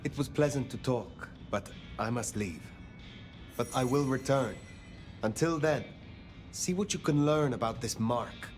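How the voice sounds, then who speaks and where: An actor playing a formal, probing elder vampire in a video game, with measured phrasing. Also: male voice, mid-range voice, close to an everyday voice. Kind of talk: video game dialogue acting. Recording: music behind the voice.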